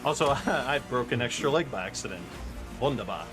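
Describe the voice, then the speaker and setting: A man's voice: mid-range voice, lively intonation, a little fast. A man giving informal, jokey live commentary on his speedrun as he plays. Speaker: speedrunner commentating his own game run. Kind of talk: casual live stream game commentary. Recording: game music behind the voice. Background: video game music.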